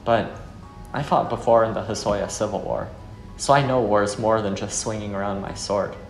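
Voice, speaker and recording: Man acting a low-voiced, solemn ex-soldier, echoey. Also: steady tone, moderate pace, natural voice. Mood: regretful, weary. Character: weary, brooding male ex-soldier turned fisherman. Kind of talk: visual novel voice acting. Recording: echoey room under music.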